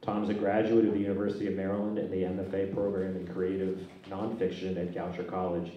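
A man's voice: sits low, fast, dull audio. A middle-aged man moderating a panel, reading out speaker introductions with a handheld mic on a stage. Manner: reads out speaker bios in a steady, even way. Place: stage in a large dark hall. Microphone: handheld microphone. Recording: echoey hall, from far off.

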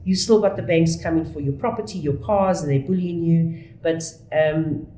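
Earnest South African woman, low and mellow. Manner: earnest, restarts often, speeds up and slows down. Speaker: guest, whistleblower. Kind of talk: candid conversational interview. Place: small studio room. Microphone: studio desk mic. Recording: roomy studio echo.

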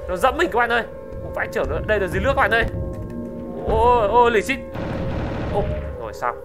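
Excitable game commentator over loud music. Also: medium pitch. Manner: excitable, exclaiming. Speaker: gaming video host. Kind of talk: gameplay commentary.

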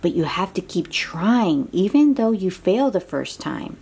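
A woman's voice: mid-range voice, big pitch swings, unhurried, vocal fry, darkish tone, slightly rough. A woman reading a picture book aloud to young children in an encouraging, exclaiming way, with chatty asides and questions to the listener.

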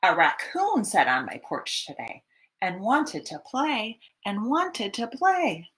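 A woman in her thirties hosting storytime, reading a picture book to young children in an expressive, teacherly way. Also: medium pitch. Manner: expressive, deliberate teacherly delivery. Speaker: children's library storytime host. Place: small home room, indoors.

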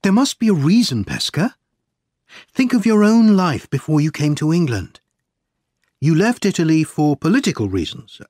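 A man voicing an earnest, determined gentleman pressing for answers in audiobook dialogue. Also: a little high, big pitch swings, normal speed, dry recording, clean studio sound. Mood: urgent. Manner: urgent, earnest.

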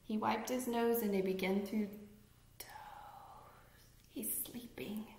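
Slow, deliberate woman reading a storybook. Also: medium pitch, fairly even intonation, creaky, heavy background noise. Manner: deliberate, emphasizes rhymes, asks young listeners questions. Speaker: read-aloud storyteller. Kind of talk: expressive children's picture-book read-aloud. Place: indoor room at home with fireplace mantel. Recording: echoey room.

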